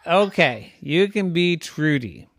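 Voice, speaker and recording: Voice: medium pitch, sing-song, takes it slowly, no echo, studio quality, voice pushed up. A storyteller voicing a kind, bewildered pet owner who is surprised and apologetic toward the pets.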